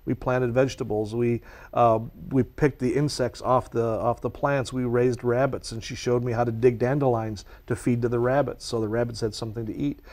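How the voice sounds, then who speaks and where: A man's voice: mid-range voice, fairly even intonation, normal speed, darkish tone. A man whose first language is Czech, answering interview questions at length in an earnest, passionate way as a nature educator.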